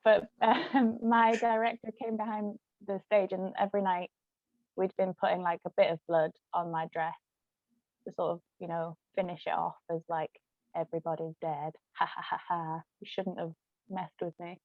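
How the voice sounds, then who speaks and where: A woman's voice: fairly low pitch, level tone, normal speed, no room sound. A woman guest chatting casually and telling anecdotes about her own work. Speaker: guest, actress and author. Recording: thin phone-like audio, dry.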